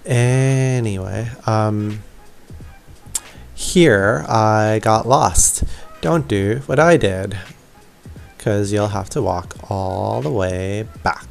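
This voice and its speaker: A man's voice: lowish voice, extremely slow, creaky, soft background music. A young man giving casual, offhand, self-deprecating gameplay commentary. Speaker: gaming video creator.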